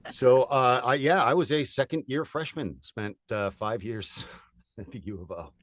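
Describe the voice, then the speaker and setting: A man in his forties from California, a voiceover artist chatting as a guest in an upbeat, energetic way with lots of fillers. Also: mid-range voice, unhurried, no room sound, clean studio sound. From United States (California). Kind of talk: casual interview chat.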